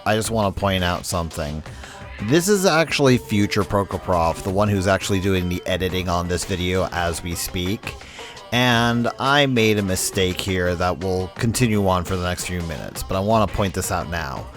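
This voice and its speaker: A man's voice: low voice, animated, moderate pace. A man narrating a scripted video essay in a conversational way with wry asides. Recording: background music under voice. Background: music.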